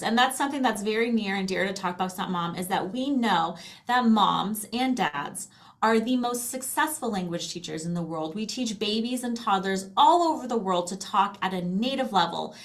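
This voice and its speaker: A woman speaking as an interview guest, explaining things conversationally with acted-out quotes and frequent tag questions. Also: medium pitch. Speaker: interview guest, company founder.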